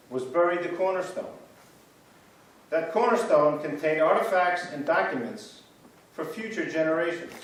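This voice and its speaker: A man reads an earnest part about church history. His voice sits slightly high. He is heard from a distance in a reverberant church with faint noise. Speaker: reader. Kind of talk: reader's theater. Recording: echoey church from far off.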